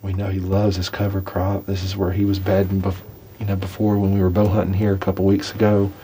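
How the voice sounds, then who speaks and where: Low, mellow-voiced young man in a hunting blind. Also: monotone, quick pace, slight background noise. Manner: easygoing, plainspoken, talks his plan through. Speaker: hunting show host. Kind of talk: casual on-camera hunting talk. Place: inside a small hunting blind.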